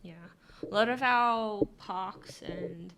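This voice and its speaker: A woman's voice: medium pitch, drawn-out words, a bit bright. A young Australian woman co-hosting a podcast, chatting casually with a teasing edge. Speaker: podcast co-host. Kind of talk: casual chat.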